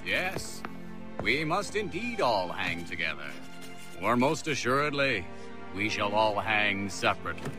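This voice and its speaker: A man's voice: lowish voice, big pitch swings, unhurried, pauses often, music in the background. An actor playing a witty elder statesman of the American Revolution in a video game, with oratorical phrasing. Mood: wry, solemn.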